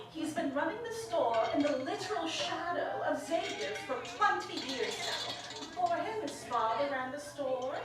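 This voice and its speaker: A woman playing a high-voiced, coy, haughty shopkeeper. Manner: airy, affected, calling people darling. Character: coy, haughty female shop owner questioned by detectives. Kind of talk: 1940s radio drama acting. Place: theater stage, live performance. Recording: echoey stage, distant mic, audience.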